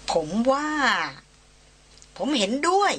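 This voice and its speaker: A woman reading the part of a calm young man on a hunting trek, speaking evenly and dryly. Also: slow. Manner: calm, even, dry.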